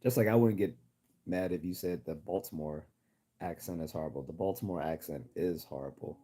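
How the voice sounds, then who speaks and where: Male livestream host, low husky register, generic Midwestern American accent, casual and opinionated. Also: a little fast. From United States. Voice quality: deep, husky, mellow.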